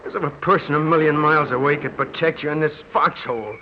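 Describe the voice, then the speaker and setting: A man's voice: a little high, fast, natural voice. A man playing a cynical, jittery young soldier with a slangy, skeptical manner in an old radio drama. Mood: fearful, agitated. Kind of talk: 1940s radio drama acting. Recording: muffled, noisy old radio recording.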